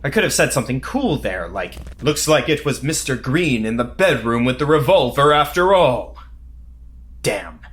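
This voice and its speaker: A man's voice: medium pitch, normal speed, natural voice. Cocky agent with a wildly swinging voice, acted by a man. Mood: smug rehearsing. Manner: wisecracking, excitable, swearing. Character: cocky, foul-mouthed male covert government agent. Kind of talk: horror audio drama acting.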